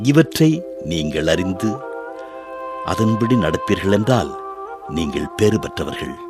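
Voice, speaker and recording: A man playing a solemn, gentle, authoritative teacher in a biblical radio drama, speaking formally and with measured care. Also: sits low, animated, music in the background. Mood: solemn, teaching.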